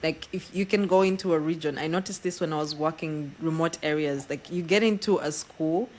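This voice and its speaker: A woman from East Africa hosting a podcast interview, chatty and quick to laugh. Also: deep voice, slight background noise. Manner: chatty, laughs often, lively storytelling. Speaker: podcast host. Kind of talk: casual interview chat.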